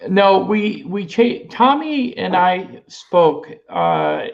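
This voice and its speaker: A man with a high voice for a guy, a guest streamer explaining things in a plain, practical way. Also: huge pitch swings, extremely slow, creaky. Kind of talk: casual chat. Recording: dull lo-fi call audio.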